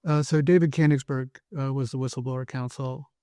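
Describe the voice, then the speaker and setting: A man speaking as a guest lawyer in an interview, giving measured, careful answers with occasional hesitation. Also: mid-range voice, fairly even intonation, warm tone, dry recording. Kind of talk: conversational interview.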